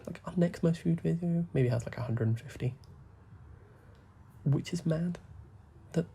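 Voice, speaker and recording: A young man, talking softly and rambling as he plays a game, full of fillers and asides. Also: a little high, creaky, a little hiss or hum. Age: young adult. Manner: soft, deliberately hushed talk with frequent fillers. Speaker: gaming YouTuber. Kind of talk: rambling gameplay commentary.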